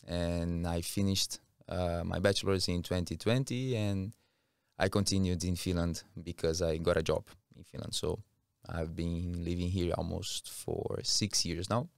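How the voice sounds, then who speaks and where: A man's voice: deep voice, steady tone, unhurried, gravelly, smooth, no echo. A man in his thirties with a Brazilian accent, chatting casually as a guest on a podcast, close on a studio mic. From Rio, Brazil. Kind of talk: casual interview talk. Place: small curtained podcast studio. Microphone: close studio mic on boom arm.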